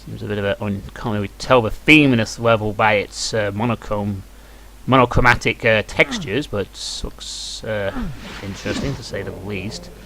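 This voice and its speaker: A man's voice: fairly low pitch, moderate pace, a bit bright. A British man giving casual, off-the-cuff commentary as he plays a game, wry and grumbling. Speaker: let's play commentator. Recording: noisy home recording, game sound behind. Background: shooter game gunfire and clicks.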